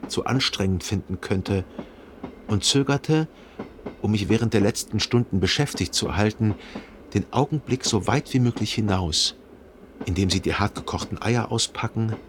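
A man playing a reflective son who narrates his memories in a radio drama, with a husky voice and measured, contemplative delivery. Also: lowish voice, a little hiss or hum, lightly acted. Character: reflective literary narrator, a grown son remembering.

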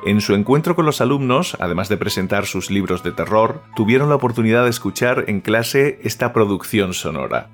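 Male podcast host, Spain accent, low register, formal scripted intro over light music. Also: lively intonation, a little fast, few pauses. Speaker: host and producer of a horror audio fiction podcast. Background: faint music.

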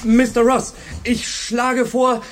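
A man's voice: very high-pitched, flat delivery, slow, a little hiss or hum. A male actor playing a keen, obedient teenage pupil who speaks formally and earnestly in a radio drama. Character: keen, obedient teenage schoolboy, a sporty type. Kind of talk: German radio drama acting.

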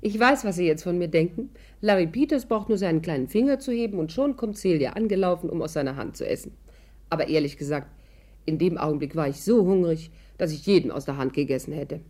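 An actress playing a sharp-tongued, wary woman who tells her own story with dry sarcasm in a 1950s German radio drama. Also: fairly low pitch, expressive, quick pace. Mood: wry, confiding. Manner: sardonic, dry. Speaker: actress playing the lead and narrator. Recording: vintage 1950s radio production.

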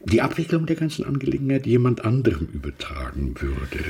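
An actor playing a scheming merchant in a radio play, husky and gravelly, with an insinuating, drawn-out manner. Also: male voice, medium pitch, huge pitch swings, mellow, heavily acted. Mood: sly, coaxing.

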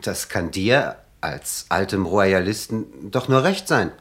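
An ambitious young man in a German radio drama. His voice is deep. He is proud and brooding as he speaks. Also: animated, slow pace, fairly bright tone, slightly rough, full character voice. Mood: defiant. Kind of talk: German radio play acting.

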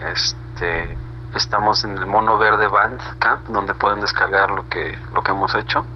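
A man's voice: fairly low pitch, fairly even intonation, a little slow. A Mexican man being interviewed as a band member, chatting casually in a slangy, rambling way with frequent tag questions. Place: remote internet call. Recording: muffled, noisy internet video call.

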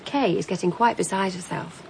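An actress playing an anxious, meddling companion in a BBC radio drama, fretful and well-meaning. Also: female voice, on the low side, moderate pace, gravelly, darkish tone, slight background noise, poor audio.

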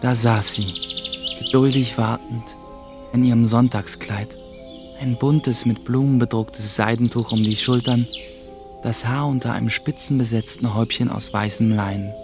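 A man's voice: medium pitch, steady tone, moderate pace, natural voice. Guy as young apprentice telling his story, medium voice, earnest n reflective, radio play with music. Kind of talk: radio play narration and dialogue.

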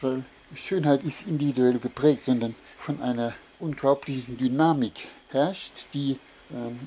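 A man giving a reflective personal statement in elevated wording, pausing deliberately to search for words. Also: mid-range voice, mellow, rough recording. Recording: faint background noise and ambience.

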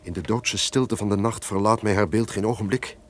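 An actor playing a guilt-ridden, suspicious castle lord, theatrical and declamatory, in an old-fashioned radio drama. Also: male voice, on the low side, steady tone, fairly quick, lightly acted. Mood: tormented, remorseful. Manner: theatrical, declamatory, swinging from barking orders to brooding. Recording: noisy old radio play recording.

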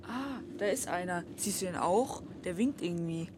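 A woman's voice: on the low side, expressive, normal speed, natural voice. An actress playing an uneasy young female student in a naturalistic radio play, casual and slangy. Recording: clear noise, vehicles behind.

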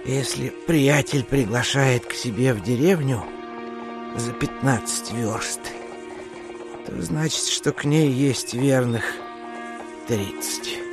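An actor playing a genteel, mildly impatient travelling gentleman in an audio drama. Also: mid-range voice, slow pace, over music. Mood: musing, mildly impatient. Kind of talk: theatrical audio drama acting.

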